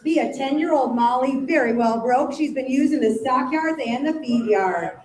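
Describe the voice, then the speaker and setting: A woman's voice: high voice, normal speed, a little mellow, echoey room, rough recording. A woman announcing each animal at a livestock auction, reading its age, breeding and training plainly. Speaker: sale ring announcer. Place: stockyard sale barn.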